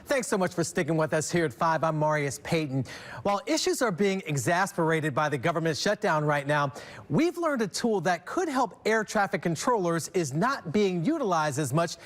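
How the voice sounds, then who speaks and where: A male news anchor with a fairly high voice and very animated intonation. He presents in a broadcast news style from a studio. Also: moderate pace.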